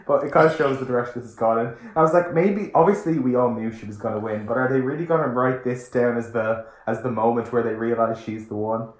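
A man co-hosting a podcast, chatting casually with halting restarts. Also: mid-range voice. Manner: halting, repeats words when starting. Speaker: podcast co-host. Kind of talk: casual chat. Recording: roomy echo.

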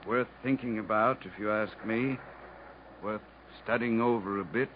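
A muffled vintage radio recording with faint noise carries a man playing a worldly riverboat captain. He is shrewd, wry and measured, in fifties radio drama style. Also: fairly low pitch, natural voice. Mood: wry, advising. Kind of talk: 1950s radio drama acting. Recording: dull, faintly noisy old radio recording.

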